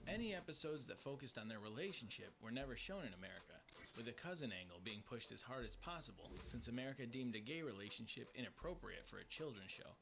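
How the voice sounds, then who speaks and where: Lively male countdown narrator over music. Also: medium pitch, fast talker, vocal fry. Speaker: list-video narrator. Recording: music bed under the voice. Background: background music.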